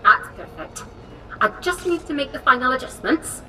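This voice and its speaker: An actress playing an eccentric, overconfident English woman inventor in a game cutscene, theatrical, brisk and condescending. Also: sits high, sing-song, sharp tone, soft background music, exaggerated voice. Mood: brisk, pleased. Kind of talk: video game cutscene acting. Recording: distant from mic, faint noise.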